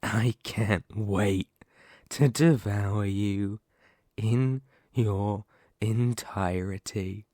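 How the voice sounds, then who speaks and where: A man's voice: lowish voice, dark tone, no echo, studio quality. A man playing a sadistic, predatory lamia in an ASMR horror roleplay, taunting and menacing. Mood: mocking, hungry. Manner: taunting, menacing, slow deliberate delivery with gloating lilts. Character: sadistic, predatory male lamia, half-snake monster toying with prey.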